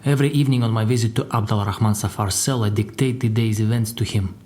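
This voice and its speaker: An actor playing a calm, vengeful prison informant in an audio drama, measured and matter-of-fact. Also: male voice, on the low side, natural voice. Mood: coolly confiding.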